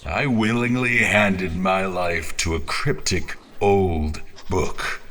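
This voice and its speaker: A man playing an obsessed, tormented character in a fantasy audio drama, speaking in a theatrical, halting, brooding way with dramatic emphasis. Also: sits low, fairly even intonation, fairly bright tone. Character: obsessed, tormented man addressing a cryptic book.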